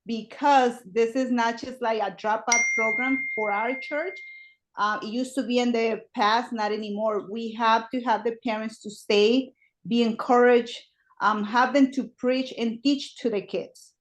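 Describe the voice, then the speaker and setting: A woman's voice: on the high side, fairly even intonation, unhurried, rough recording. A woman from Mexico whose first language is Spanish, speaking English with a Mexican Spanish accent in an earnest, casual way on a video call, with some grammar slips. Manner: earnest, fillers like "um" and "like", non-native grammar slips. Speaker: parish religious education worker. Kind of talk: online meeting discussion.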